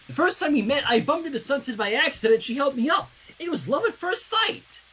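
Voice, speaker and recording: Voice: high voice, animated, brisk, bright, forceful tone, voice pushed up. A fan fiction reader voicing a jealous, hurt, lovesick young student girl, pleading and indignant. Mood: lovestruck gushing.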